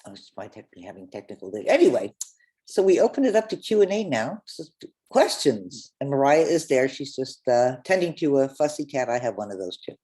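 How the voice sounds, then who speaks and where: A woman hosting an online question-and-answer session over a video call, speaking in halting, stop-start phrases. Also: extremely low pitch, sing-song, dark tone, breathy edge. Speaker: host.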